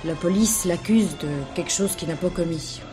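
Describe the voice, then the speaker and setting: With music playing behind, a wily Parisian streetwalker, played by an actress speaks in a slightly low voice. She is colloquial and coaxing, in the manner of a French radio play. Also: normal speed, close to an everyday voice. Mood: pleading, coaxing.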